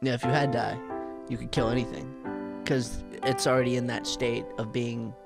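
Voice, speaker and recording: A man's voice: medium pitch, flat delivery, moderate pace, vocal fry, over loud music. A young man in his twenties co-hosting a podcast, playfully riffing on jokes into a studio mic. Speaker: podcast co-host. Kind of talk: casual joking banter.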